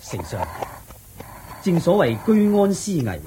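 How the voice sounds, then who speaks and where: A man with a Hong Kong Cantonese accent playing an earnest army general devoted to his horses in a radio drama, forceful and full of questions. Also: sits high, huge pitch swings, unhurried, background noise, heavily acted. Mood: earnest, pleading.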